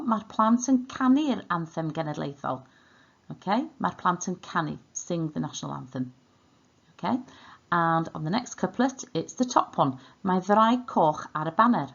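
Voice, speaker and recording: A woman's voice: mid-range voice, muffled recording. A woman with a Welsh accent teaching an online language lesson, enunciating and repeating words in an instructional way.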